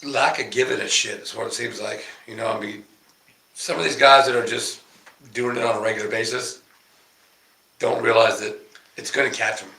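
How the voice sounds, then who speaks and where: A laid-back man with a gravelly, bright voice co-hosts a casual livestream, calling out viewers by name. The room gives his voice a noticeable echo. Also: lowish voice, fairly even intonation, normal speed, long pauses. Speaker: podcast co-host. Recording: roomy echo.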